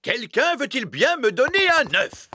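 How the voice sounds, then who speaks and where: A man's voice: extremely high pitch, sing-song, unhurried, noisy background, full character voice. A man voicing a regal, bossy fairy king with a pompous manner in a children's cartoon. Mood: exasperated. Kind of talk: children's cartoon dialogue, French dub.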